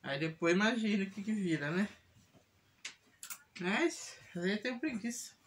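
A woman's voice: medium pitch, lively intonation, normal speed. A middle-aged Brazilian woman chatting casually and ramblingly as she does chores, often checking in with the listener. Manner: rambling, repetitive, often ends with a checking tag. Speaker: home vlogger, mother. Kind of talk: casual chat while doing chores. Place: small room at home, indoors. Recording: clear household noise, handling clicks.

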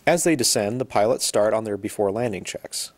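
A man reading scripted educational narration about procedures in a measured, explanatory manner. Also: fairly low pitch, heavy background noise. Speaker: narrator of an aviation training video.

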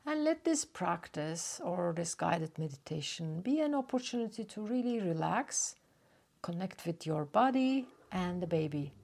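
A woman leading a guided relaxation meditation as a yoga nidra teacher, speaking gently and unhurriedly with long pauses. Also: on the low side, lively intonation, creaky.

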